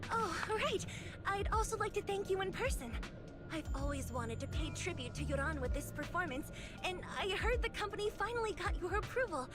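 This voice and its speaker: An actress voicing a sweet, earnest young singer in an anime-style video game, polite and reverent. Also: female voice, very high-pitched, expressive, a little fast. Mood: reverent, grateful. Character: sweet, earnest young female singer devoted to her idol. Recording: music behind the voice. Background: loud game music.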